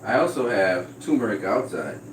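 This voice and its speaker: Low-voiced Trinidadian man chatting on stream. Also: normal speed, slight background noise. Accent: slight American-sounding Trinidadian. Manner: relaxed, reads out viewer comments. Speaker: vlogger. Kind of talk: casual livestream chat with viewers.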